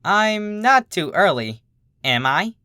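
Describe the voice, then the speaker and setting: A narrator voicing a brash, energetic young female pegasus pony, casual and excitable. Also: mid-range voice, slow pace, clear, resonant tone, no echo, studio quality, pitched above the performer's own voice, exaggerated voice.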